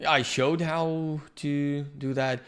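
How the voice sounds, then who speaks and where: Halting Ukrainian-accented man teaching. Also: a little high, steady tone, fairly bright tone. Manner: halting, searching for words, frequent restarts. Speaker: workshop instructor. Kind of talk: live-streamed software lesson.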